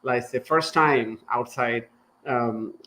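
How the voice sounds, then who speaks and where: A man's voice: medium pitch, slow, bright, forceful tone. A man from Pakistan, a startup founder, talking as a guest in a casual remote interview, earnest and thankful, with loose restarts.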